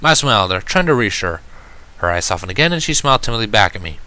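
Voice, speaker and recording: A man narrating a first-person story in an expressive, reflective and dramatic way. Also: lowish voice, fast talker. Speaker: reader of fan fiction. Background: background music.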